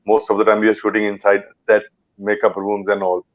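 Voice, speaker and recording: A man's voice: lowish voice, monotone, fairly quick, muffled recording. A man from Punjab with an Indian English accent, an actor chatting in an interview, rambling with fillers and restarts. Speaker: actor guest. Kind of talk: casual interview chat.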